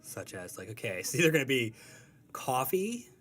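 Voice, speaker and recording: A man's voice: medium pitch, very animated, normal speed, a bit bright. A young adult man, a comic strip creator, talking casually in an interview and telling rambling, self-deprecating stories.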